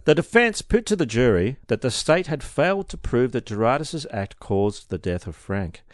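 Lively-voiced man reading a crime case. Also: medium pitch, normal speed, dry recording, studio quality. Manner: reads case details, jokes between. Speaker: podcast co-host reading a case. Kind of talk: casual true-crime podcast chat.

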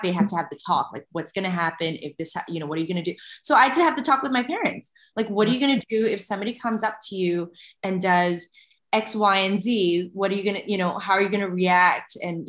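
Chatty woman in an echoey room. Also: fairly low pitch. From Malaysia, raised in Canada. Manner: chatty, warm laughs, many restarts. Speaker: podcast guest. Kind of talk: conversational interview.

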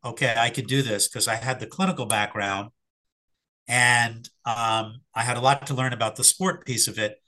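Middle-aged male guest, slightly hoarse, bright sound, thoughtful and halting delivery. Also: medium pitch, steady tone. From Philadelphia area. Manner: reflective, hesitant with fillers and restarts. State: hoarse after covid. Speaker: sports psychologist guest. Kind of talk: casual interview answers.

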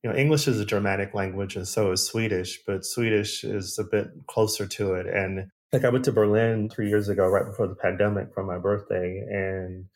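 Low-voiced American podcast host. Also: male voice, steady tone, fairly quick, few pauses. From United States. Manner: reflective, with small restarts and sighs. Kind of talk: casual interview chat.

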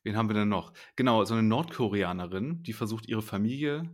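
A man's voice: fairly low pitch, brisk. A man co-hosting a podcast, chatting casually and easily about a series, laughing now and then. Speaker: podcast co-host. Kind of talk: casual chat reviewing a series.

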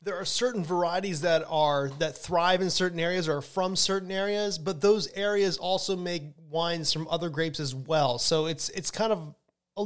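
An upbeat man with a slightly higher voice hosts a podcast in a relaxed, conversational way. He talks with excitement and often stops mid-sentence to rephrase. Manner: enthusiastic, chatty, restarts sentences. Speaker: wine podcast host. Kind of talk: casual educational monologue.

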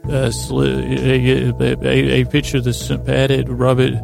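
A man hosting a bedtime podcast, rambling in a meandering, hesitant way with halting, silly, whimsical asides. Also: mid-range voice, monotone, creaky, darkish tone, soft background music. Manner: silly, whimsical, soothing intent. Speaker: podcast host.

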